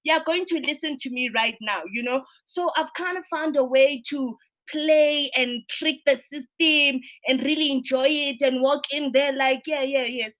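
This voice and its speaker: Thirties woman hosting a video roundtable, high voice, earnest and animated, lots of tag questions. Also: unhurried. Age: 30s. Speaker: roundtable host. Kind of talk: virtual roundtable discussion. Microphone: video call.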